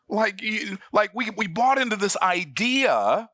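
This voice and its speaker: A man's voice: sits high, sharp tone, dry recording, studio quality. A man in his sixties teaching the Bible in an emphatic, folksy preaching manner. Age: 60s. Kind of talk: sermon-style Bible study.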